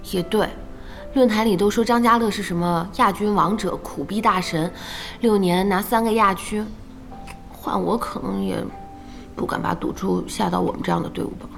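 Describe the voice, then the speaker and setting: A woman's voice: mid-range voice, moderate pace, creaky, lightly acted. A woman in an audio drama playing an outspoken, impatient young gamer who is chatty and nagging. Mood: curious. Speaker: voice actor in a radio drama. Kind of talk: animated audio drama dialogue. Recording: faint music behind the voice.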